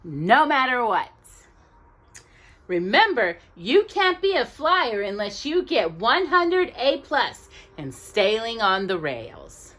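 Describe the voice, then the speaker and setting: A woman's voice: a little high, big pitch swings, slow pace, fairly bright tone, exaggerated voice. A woman voicing a kindly but firm old male head of a railway school in a children's storybook. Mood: firm, instructive. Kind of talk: children's storybook read-aloud.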